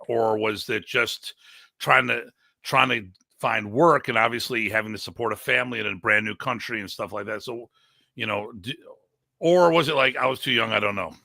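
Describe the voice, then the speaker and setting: A man's voice: medium pitch, creaky, bright, forceful tone, no echo, clean studio sound. A man hosting a live online show, chatting casually and interviewing a guest.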